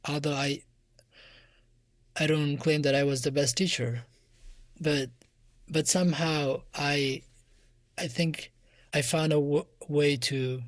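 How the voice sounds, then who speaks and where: A soft-voiced man from Afghanistan with an Afghan accent, giving reflective answers as an interview guest. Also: on the high side, steady tone, slow pace, long pauses, no room sound. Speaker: interview guest, musician and music teacher.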